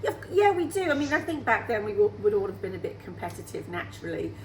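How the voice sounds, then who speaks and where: A chatty, upbeat woman in her sixties answers interview questions about her singing career. Her voice sits at a middle pitch and carries a roomy echo. A faint hum runs behind her. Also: sing-song, fast, a little mellow. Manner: chatty and upbeat, laughs easily. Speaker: singer guest.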